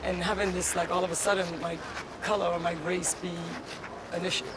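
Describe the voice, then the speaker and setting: A woman from the Bahamas, a guest in an interview, telling stories in an animated way and jumping high when she acts out what she was thinking. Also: a little fast, background noise. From Nassau, Bahamas. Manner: animated storytelling, rising high when acting out her past thoughts. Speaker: interview guest, diversity director. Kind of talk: conversational interview. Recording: loud music playing behind the voice.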